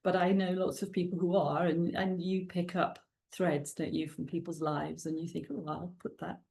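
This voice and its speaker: Middle-aged welsh lady, welsh her first language, chats easy with ums and little laughs, lowish voice. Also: level tone, normal speed, gravelly. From South Wales. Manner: warm, chatty, laughs often, many ums. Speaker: guest author. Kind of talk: casual interview chat.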